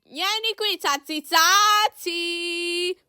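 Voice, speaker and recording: A woman's voice: very high voice, drawn-out words, bright, forceful tone, no room sound, studio quality. A woman doing an amateur cartoon fan dub, acting out several characters in an exaggerated, exclamatory way.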